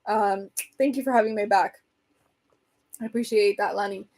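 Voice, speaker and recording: A woman hosting a casual livestream, rambling and answering viewer comments, her voice getting hoarse from talking so much. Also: mid-range voice, fairly even intonation, moderate pace, darkish tone. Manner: chatty, rambling, reads and answers comments, laughs often. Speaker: livestream host chatting with viewers.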